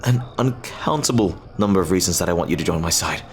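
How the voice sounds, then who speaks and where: A man playing an earnest, lovestruck pirate captain in an ASMR roleplay, speaking haltingly and tenderly in formal, old-fashioned phrases. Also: sits low, animated. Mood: earnest, hesitant. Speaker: audio roleplay performer.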